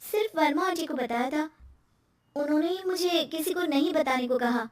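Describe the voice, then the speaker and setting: A woman's voice: sits high, moderate pace, distant mic, lightly acted. A woman voicing a cheerful, talkative, easily worried young wife in a cartoon moral story. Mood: upset.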